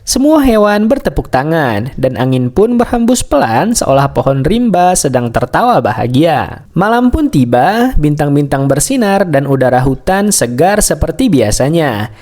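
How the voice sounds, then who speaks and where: Animated male bedtime storyteller. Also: on the high side, moderate pace. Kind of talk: scripted children's bedtime story narration.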